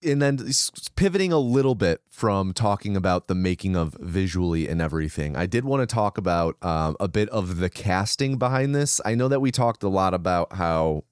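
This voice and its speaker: A man's voice: low voice, lively intonation, normal speed, few pauses, clear, resonant tone, no echo, studio quality. A man co-hosting a casual film podcast, relaying researched behind-the-scenes trivia with frequent fillers and restarts. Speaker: podcast co-host.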